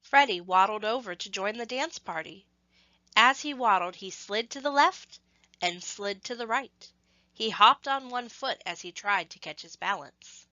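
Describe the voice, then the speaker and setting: A woman reading a scripted story to children, with expressive, measured phrasing and emphasis on action words. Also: medium pitch, dry recording, muffled recording.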